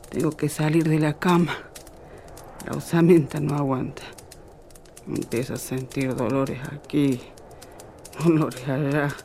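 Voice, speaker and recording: A woman's voice: low voice, gravelly, warm tone, a little hiss or hum, full character voice. A woman playing a kindly, weary elderly rural godmother in a radio drama, with a northwestern Argentine accent and folksy, plainspoken manner. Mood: weary, chatty. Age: older. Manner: slow, folksy, rustic.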